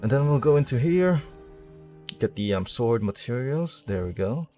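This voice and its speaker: A man's voice: mid-range voice, expressive, moderate pace, mellow, soft background music. A man playing a video game and commentating casually, rambling and thinking aloud in a self-deprecating way. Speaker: streamer, game player.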